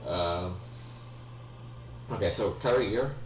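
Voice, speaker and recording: Young polish guy talking through a sub game, lots of ums, deep voice, echoey room far from the mic, faint hum. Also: takes it slowly. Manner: hesitant, many ums and restarts, apologetic. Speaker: let's play gamer. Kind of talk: casual gameplay commentary.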